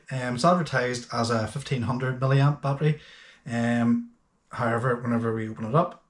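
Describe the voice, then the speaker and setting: A man giving a casual, unscripted gadget review in a chatty, hesitant, matter-of-fact way. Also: mid-range voice. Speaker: host of a boating gadget review channel. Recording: echoey, roomy sound.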